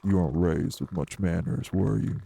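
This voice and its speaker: A man playing a morose older wraith in an audio drama, blunt, folksy and scolding, with a husky, gravelly voice. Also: normal speed, slight background noise. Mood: stern, reproving. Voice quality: very gravelly, husky, dark low voice. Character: morose older male wraith, rural homebody, stern but hospitable. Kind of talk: dramatic audio roleplay acting.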